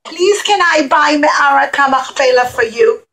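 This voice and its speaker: Kindly, pious old man for a puppet story, played by a woman: high voice, earnest exclamations. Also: measured pace, a bit bright. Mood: earnest, determined.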